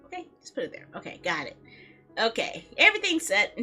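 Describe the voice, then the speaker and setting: A chatty woman hosts a game livestream in a lively, high-pitched voice that moves up and down a lot. Her delivery is casual and giggly as she talks to viewers. Faint music plays behind her. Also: a little fast. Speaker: video game livestream host.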